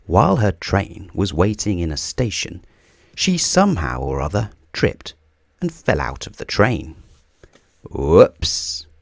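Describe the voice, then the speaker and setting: A man reads a children's picture book with theatrical emphasis. His voice sits fairly low and swings widely in pitch as he goes. The sound is close and dry, suited to careful storytelling. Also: vocal fry, dry recording. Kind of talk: scripted storybook read-aloud.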